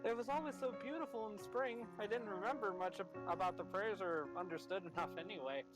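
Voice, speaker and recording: A man voicing an earnest, gentle teenage schoolgirl in a visual novel, politely and a little hesitantly. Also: high voice, fairly quick, lightly acted, low-quality recording. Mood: wistful. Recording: background music under the voice.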